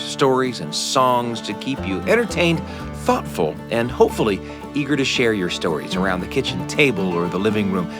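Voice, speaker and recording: A man with an American accent, a radio host reading a scripted show sign-off over music. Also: fairly low pitch. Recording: music under the voice.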